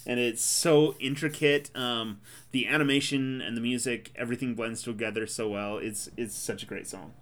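A man in casual podcast chat who rambles with enthusiasm and fills his talk with "you know" and "uh". His voice sits at an ordinary middle pitch. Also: steady tone, normal speed, fairly bright tone. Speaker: podcast co-host.

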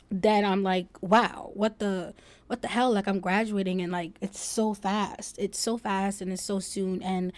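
A woman's voice: mid-range voice, darkish tone. A twenty-year-old woman hosting a solo podcast, talking casually and reflectively in an informal, rambling way. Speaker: podcast host.

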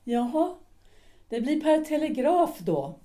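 An actress playing a cold, resentful middle-aged wife who speaks in a cool, measured and cutting way in a radio drama. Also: female voice, high-pitched, big pitch swings, mellow.

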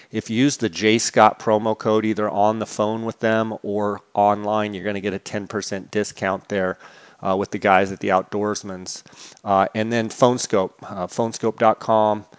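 Steady, low-voiced male podcast host. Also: clear, resonant tone, no room sound, dull audio. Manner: casual, many filler "uh"s and restarts. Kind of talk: podcast intro with sponsor reads.

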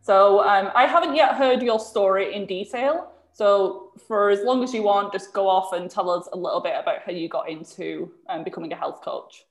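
A woman in her twenties hosting a podcast, reading a clear scripted intro and then chatting casually with her guest. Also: mid-range voice, moderate pace, hardly any pauses, roomy sound. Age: 20s. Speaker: podcast host. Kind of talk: podcast intro and interview chat.

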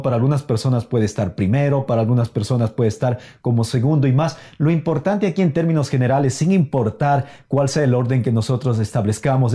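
Brief: male co-host, warm and mellow voice, calm lecturing style of motivational talk. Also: mid-range voice. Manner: steady, explaining tone with many fillers. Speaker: podcast co-host.

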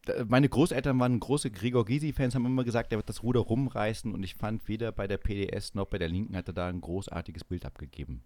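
A man's voice: fairly low pitch, fast, hardly any pauses, a little mellow, no echo. A man co-hosting a casual banter podcast, teasing and playfully mock-enthusiastic. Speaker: podcast co-host.

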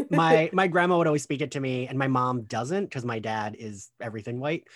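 A woman's voice: a bass voice, normal speed, no room sound, studio quality. A woman chatting casually on a podcast, telling stories and laughing often as she talks. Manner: laughs often, self-deprecating storytelling. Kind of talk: casual chat.

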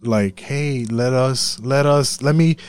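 A man's voice: medium pitch, takes it slowly, darkish tone, smooth. A man from Puerto Rico in a casual, profane discussion, speaking emphatically and often circling back to repeat himself.

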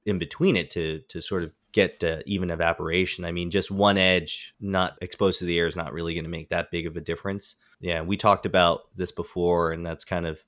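A man's voice: low-pitched, level tone, a little fast, few pauses, fairly bright tone, no echo, studio quality. A man co-hosting a podcast, agreeable and laid-back as he chats about shop practice. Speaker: podcast co-host. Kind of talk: casual podcast chat.

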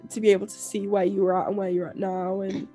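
A young woman co-hosting a podcast, croaky and nasal from illness, rambling casually with lots of fillers and restarts. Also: medium pitch, little pitch movement, fast, dark tone, faint music behind. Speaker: podcast co-host and author. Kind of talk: casual chat.

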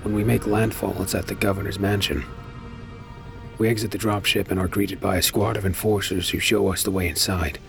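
An actor playing a weary, uneasy space pirate with a brooding manner, as in a first-person audio drama. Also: male voice, low voice, level tone, a little fast, creaky. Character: weary, uneasy male space pirate narrating his ordeal. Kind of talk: audio drama acting and narration. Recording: music behind the voice.

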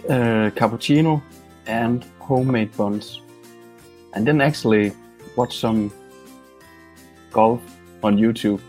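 A man, a band member answering in an unhurried way with light chuckles. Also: mid-range voice, darkish tone, faint music behind. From Denmark.

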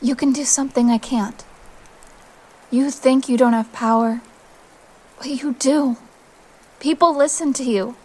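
A woman's voice: high-pitched, steady tone, a little slow, pauses often, mellow. An actress playing a resolute young female exile in an audio drama, earnest and emotional, tender but firm. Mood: gentle resolve.

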